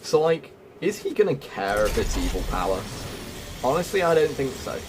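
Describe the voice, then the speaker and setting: Male gaming video host, casual walkthrough style, explanatory, mid-pitched, noticeable noise behind him. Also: big pitch swings, moderate pace. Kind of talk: casual game walkthrough commentary.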